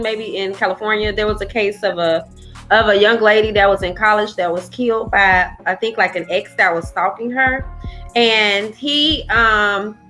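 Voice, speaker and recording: A bright-voiced American woman chats casually as a podcast co-host, with music behind her. She talks tentatively, working out her thoughts as she goes. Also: medium pitch. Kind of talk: casual chat.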